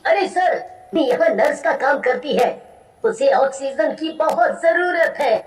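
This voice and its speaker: A woman's voice: high voice, animated, measured pace, pitched above the performer's own voice. A woman voicing an anxious, devoted young husband in a cartoon story, earnest and pleading, in an echoey, distant recording. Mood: worried.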